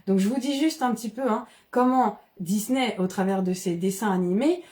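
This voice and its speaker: A woman from the Paris region, explaining ideas in casual, animated conversation with frequent restarts. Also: medium pitch, moderate pace. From Paris region, France. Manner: animated, many restarts and fillers.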